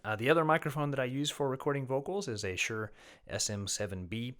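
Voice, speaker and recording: A man casually reviewing and demoing a condenser microphone in a small, fairly dead room. He talks in a relaxed, rambling way with many fillers, and his voice is a little low from recovering from an illness. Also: fast. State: slightly low voice, getting over an illness. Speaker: music teacher reviewing a microphone. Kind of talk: casual gear review and demo. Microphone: condenser microphone, no pop filter, varying distance.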